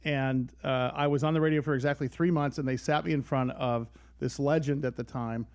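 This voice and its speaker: A man's voice: medium pitch, fairly even intonation, brisk. A middle-aged American man hosting a conversational radio interview, speaking in an informal, effusive way. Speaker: radio show host.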